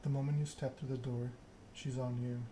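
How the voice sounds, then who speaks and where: A man narrating a story game aloud in ASMR style, reading in a measured way with occasional stumbles. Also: mid-range voice, little pitch movement, warm tone, a little hiss or hum, low-quality recording.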